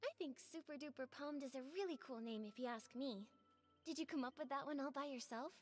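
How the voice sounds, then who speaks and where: A woman playing a gentle, curious young heroine in a video game, speaking politely and thoughtfully. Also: sits high, brisk, soft background music, lightly acted.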